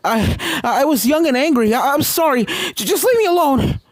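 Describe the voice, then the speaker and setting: A woman voicing a panicked, defiant villainous unicorn mare in a fan fiction reading, shrill and stammering. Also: lively intonation, moderate pace, no room sound. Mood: panicked, pleading.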